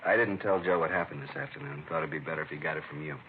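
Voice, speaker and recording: Low-voiced, hot-tempered American father. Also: racing. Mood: troubled. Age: middle-aged. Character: stern, hot-tempered father at the end of his patience. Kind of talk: old-time radio crime drama acting. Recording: tinny, hissy vintage radio broadcast.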